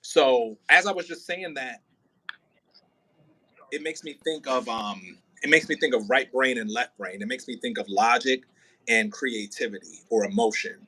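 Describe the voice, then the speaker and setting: Bright-voiced man coaching with expressive flair. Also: mid-range voice, normal speed, breathy edge. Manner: expressive, demonstrates lines dramatically. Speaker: director of an audio play. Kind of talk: coaching an actor in rehearsal, casual. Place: indoors near a window, on a remote call.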